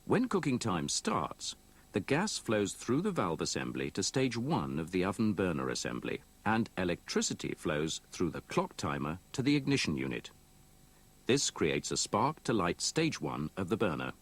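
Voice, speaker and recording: Low-voiced male training narrator, slightly husky. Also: big pitch swings. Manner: measured, explanatory, methodical. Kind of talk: scripted instructional narration. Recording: old VHS tape copy.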